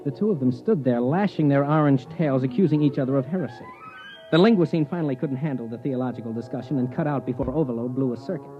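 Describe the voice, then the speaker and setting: Man acting grumbling space explorer, medium pitch, wry, plain-spoken, old radio drama, muffled sound. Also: fast, over music, natural voice. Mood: wry narrating. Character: grumbling, put-upon male space explorer. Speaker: narrator and lead character. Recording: dull vintage radio recording.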